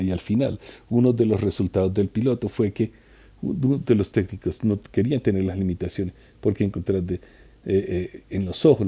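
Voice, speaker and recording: A man interpreting a conference talk live, in a halting way with restarts and trailing phrases. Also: low-pitched, mellow, breathy edge.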